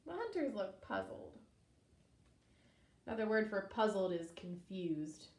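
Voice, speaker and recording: A woman preschool teacher reading a story aloud to young children, expressively, pausing to explain and ask simple questions. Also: mid-range voice, sing-song, darkish tone. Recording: echoey room.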